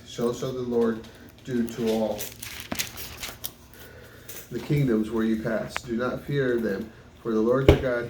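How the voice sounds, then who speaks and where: A man's voice: mid-range voice, a little mellow. A man reads scripture aloud, stumbling and correcting himself on unfamiliar names. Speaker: Bible reader. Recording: echoey room, distant mic, faint noise.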